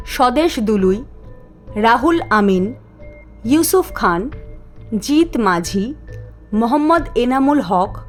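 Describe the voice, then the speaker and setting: A woman narrating a cartoon story and reading out listener names and a quiz question in a deliberate, scripted manner. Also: on the high side. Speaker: narrator and channel host.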